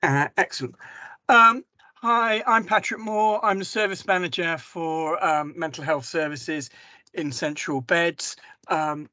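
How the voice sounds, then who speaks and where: A hoarse man, a mental health service manager presenting slides in a webinar over a video call and talking hesitantly with many ums and restarts. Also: a little high, measured pace, pushed and bright, no echo. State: hoarse from a cough.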